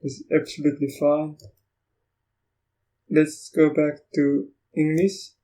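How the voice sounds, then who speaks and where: Afrikaans-speaking man, mellow and monotone, reviewing software and thinking aloud. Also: on the high side. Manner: thinks aloud, halting, reacts to what is on screen. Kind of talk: casual screen-recording walkthrough.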